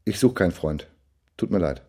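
An actor playing a naive-seeming, quietly sly amateur sleuth in a German radio crime drama, talking in a halting, colloquial way. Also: male voice, low voice, lively intonation. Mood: casual. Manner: colloquial, halting, mock-casual.

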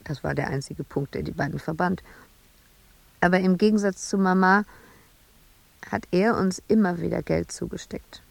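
A woman's voice: lowish voice, normal speed, gravelly, pauses often, no room sound. An actress playing an embittered, intense woman sculptor confined in an asylum, in a radio drama monologue. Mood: brooding, bitter.

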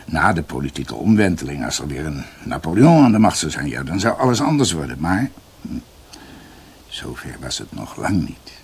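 An actor playing a brooding doctor who recounts his family's history in a halting, reflective, emphatic way, as in a radio drama. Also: rumbling low, very animated, slightly rough, heavily acted.

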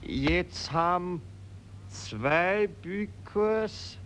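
Dim-witted aged archduke, high voice, extremely slow halting delivery, faint hiss of an old radio recording. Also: male voice, a bit bright, heavily acted. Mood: simple-minded wonder. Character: senile, childishly simple old Austrian archduke. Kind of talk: 1940s German radio satire acting.

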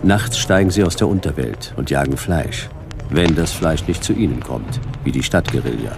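Very deep, gravelly hard-boiled detective. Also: male voice, normal speed, full character voice. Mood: deadpan narration. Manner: dry, clipped, deadpan. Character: hard-boiled male detective, wry and laconic. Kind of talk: noir radio drama, narrating and acting. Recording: music behind the voice.

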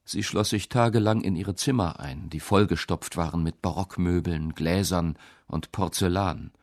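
Male reciter of quotes and verse, gravelly edge, very dry recording. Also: low-pitched, normal speed, darkish tone, dry recording. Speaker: reader of quotations and poems. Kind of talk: scripted literary recitation.

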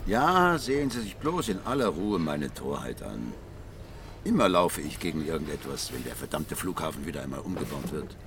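Deep-voiced, brooding blind critic. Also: male voice, big pitch swings, moderate pace, noisy background, exaggerated voice. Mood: sardonic, insistent. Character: blind male music critic, sardonic, intense, confessional. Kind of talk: radio drama monologue and dialogue.

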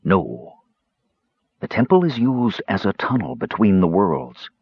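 A man doing a grave, measured cat lord. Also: sits low, very animated, husky, dry recording, exaggerated voice. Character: calm, stately male cat lord. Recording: dull lo-fi dry recording.

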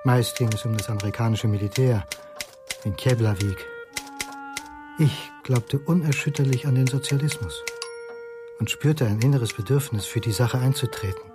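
A man's voice: mid-range voice, normal speed, music in the background, close to an everyday voice. A man playing a haunted former socialist looking back in a reflective radio drama monologue. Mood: reminiscing. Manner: reflective, confessional. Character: haunted male Icelandic former socialist student, confessing.

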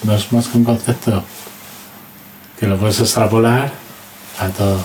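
A man's voice: on the low side, steady tone, unhurried, darkish tone, slight background noise, low-quality recording. A man with an accent from Spain telling anecdotes casually and colloquially, checking in with little tag questions and acting out what people said. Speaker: interview guest, sound and music analyst.

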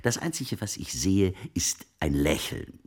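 An actor playing a wealthy, wily merchant of old Italy in a German radio play, speaking formally and deliberately in a gravelly, husky voice. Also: male voice, sits low, animated, full character voice. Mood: confiding, intense. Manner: formal archaic phrasing, deliberate storytelling.

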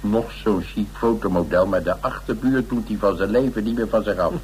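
An actor playing a gruff but caring male companion with a Dutch accent, speaking hesitantly and affectionately in a naturalistic radio drama. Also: on the low side, brisk, a little mellow, a little hiss or hum, lightly acted, low-quality recording. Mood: musing, amused.

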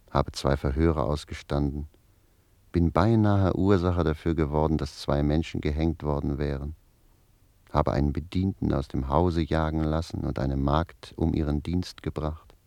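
Very deep, mellow roguish manservant. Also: male voice, creaky, no echo. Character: roguish, garrulous manservant reporting back to his master. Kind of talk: German radio play acting.

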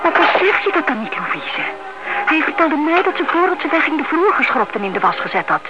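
A woman's voice: sits high, big pitch swings, a little fast, over loud music. An actress playing a spry, inquisitive, suspicious elderly lady in a radio play, chatty and insistent. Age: older. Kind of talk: radio play acting in Dutch. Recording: muffled, very noisy old radio recording.

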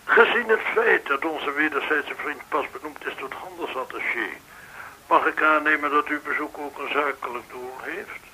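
An actor playing a ceremoniously polite, obliging gentleman trader, heard through a telephone filter in a radio play. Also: on the high side, moderate pace. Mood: obliging, probing. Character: Signor Ribeiro, smooth, ceremoniously polite gentleman trader in Macau. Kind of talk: radio drama acting. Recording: hissy, noisy telephone line.

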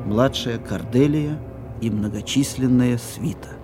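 An actor playing a solemn narrator of an audio play, deliberate, with dramatic pauses. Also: male voice, mid-range voice, dark tone, close to an everyday voice. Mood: solemn, atmospheric. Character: solemn atmospheric stage narrator. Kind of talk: theatrical scripted narration. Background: music.

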